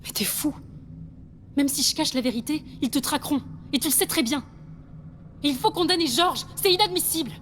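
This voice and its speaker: A woman's voice: high voice, lively intonation, a little fast, pauses often, fairly bright tone, a little hiss or hum. A woman playing a principled female intelligence agent in a French audio drama, urgent and reproachful in manner. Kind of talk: French audio fiction acting.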